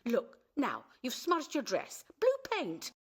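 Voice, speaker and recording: Give me a high, animated, sharp-tongued mother. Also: slow, husky, exaggerated voice. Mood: exasperated, firm. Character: sensible, sharp-tongued wife and mother of a painter's household. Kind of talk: radio play acting.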